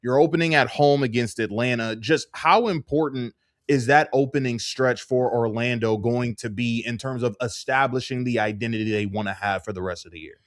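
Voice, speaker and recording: A man's voice: mid-range voice, normal speed, no echo, clean studio sound. A man hosting a conversational sports interview, building up long questions piece by piece.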